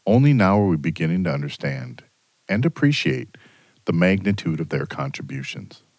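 A man's voice: low-pitched, sing-song, normal speed, darkish tone, no room sound. A man narrating a documentary, then reflecting in a casual way.

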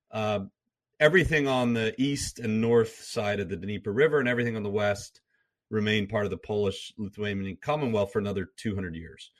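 A man's voice: medium pitch, fairly bright tone. A man speaking as an interview guest, explaining history at length in a lecturing way, often repeating and restarting words. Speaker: guest, former troop commander and history professor.

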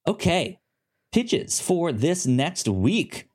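A man co-hosting a casual review podcast, talking informally and haltingly, often stuttering and repeating syllables. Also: on the high side, big pitch swings, darkish tone. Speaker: podcast co-host. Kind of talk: casual review show chat.

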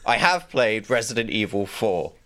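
Man, very low pitch, lively intonation, emphatic comic banter, sweary podcast panelist. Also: moderate pace, a crowd in the background. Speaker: panelist on a comedy game show podcast.

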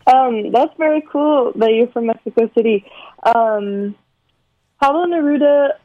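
A young woman singer-songwriter from California, talking thoughtfully in a remote interview, with many pauses and ums over a muffled call line. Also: fairly high pitch, no echo. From San Fernando Valley, California. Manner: soft-spoken, thoughtful, hesitant with many ums.

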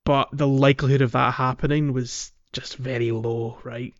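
A man's voice: medium pitch, steady tone, moderate pace, highs cut off. A man co-hosting a casual gaming podcast, explaining things in a hesitant way and checking for agreement. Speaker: podcast co-host.

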